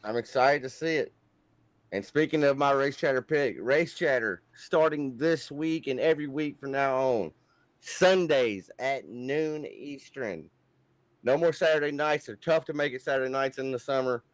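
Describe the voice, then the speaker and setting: A man's voice: mid-range voice, normal speed, no room sound. A man doing color commentary on a sim race broadcast, talking in a folksy, teasing, joking way. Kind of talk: casual race broadcast banter.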